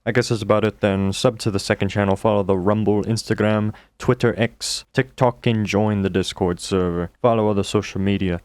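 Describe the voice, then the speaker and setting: A man hosting a reaction commentary video, talking casually in a deep, monotone voice. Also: fairly quick, few pauses, no echo. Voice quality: deep and monotone from just waking. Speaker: commentary channel host.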